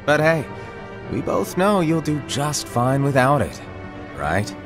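An actor playing a playful, mischievous master and mentor in a game cutscene, teasing and theatrical. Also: male voice, a little high, sing-song, over music, exaggerated voice. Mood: breezy instructing. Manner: theatrical, teasing, drawn-out wordy delivery. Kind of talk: animated game cutscene dialogue.

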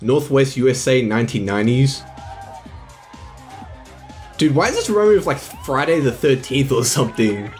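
A young man giving casual, excited, slangy live reaction commentary as a video host. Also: medium pitch, normal speed, pauses often, soft background music. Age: young adult.

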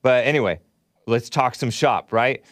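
A man in his thirties hosts a call-in show with a casual, conversational delivery. His voice sits at a middle pitch and is recorded close on a studio mic, with very little room sound. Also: moderate pace, no echo. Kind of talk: casual talk-radio chat. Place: small studio. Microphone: broadcast microphone on boom arm, close.

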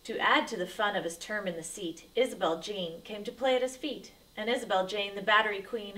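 A woman's voice: mid-range voice, gravelly, pushed and bright, distant mic, rough recording. A woman reading a rhyming picture book aloud to children as a storyteller, with dramatic, emphatic delivery.

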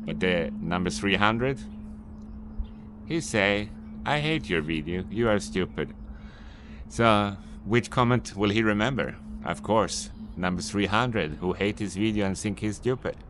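A Swedish man talks straight to camera in unscripted English, his voice a little low and his advice circling back on itself as he admits to some annoyance. Faint city ambience hums behind him out on the street. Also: a little slow, fairly bright tone, slight background noise. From Sweden. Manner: rambling, repetitive, mildly annoyed advice-giving. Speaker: vlogger, business owner. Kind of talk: unscripted vlog monologue.

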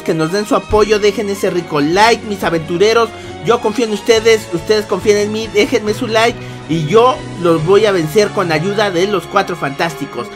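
A young man hosting a gaming video with casual, chatty roleplay. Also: sits high. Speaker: gaming channel host.

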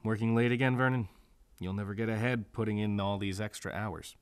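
An actor playing a weary, wry district attorney in a radio noir drama, dry and world-weary. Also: male voice, lowish voice, steady tone, fairly quick, clean tone, no room sound, natural voice. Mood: wry tired.

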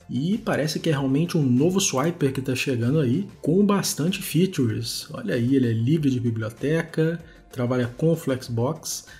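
A man's voice: medium pitch, a little mellow. A man with a Brazilian accent hosting a web development screencast, talking informally and conversationally as he demonstrates. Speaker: host of a web development video show. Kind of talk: casual screencast commentary. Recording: music behind the voice. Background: background music.

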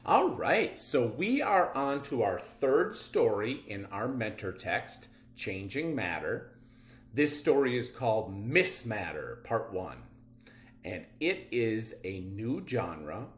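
A middle-aged man gives an instructional reading lesson for children. He takes his time and is very expressive, his pitch moving widely. The room adds a noticeable echo. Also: takes it slowly. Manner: animated, expressive, explanatory. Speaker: teacher. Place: indoor room. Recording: roomy echo.

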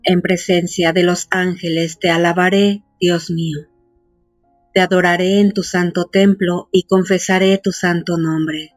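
Measured devotional prayer reader, unhurried, dry close sound, faint music bed. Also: mid-range voice, little pitch movement, no echo. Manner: solemn, measured reading. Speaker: prayer leader. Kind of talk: devotional novena recitation.

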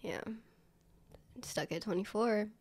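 Young woman co-host, twenties, slight fry, recovering from a cold, loose chatty delivery at a studio mic. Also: medium pitch, slow pace, darkish tone, slight background noise. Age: 20s. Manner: chatty, laughs easily, trailing sentences. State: getting over a cold, dry throat. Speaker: podcast co-host. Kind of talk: casual chat. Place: small studio room with purple lights, indoors. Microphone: studio mic on a stand with headphones.

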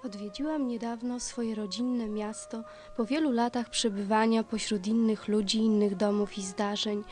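A woman's voice: a little high, steady tone, moderate pace, over loud music. A woman giving a scripted literary narration.

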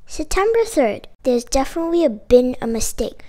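High-voiced woman reading a children's story. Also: moderate pace. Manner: expressive, emphatic delivery with dramatic exclamations. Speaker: storyteller reading a picture book aloud with puppets. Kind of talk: animated children's read-aloud.

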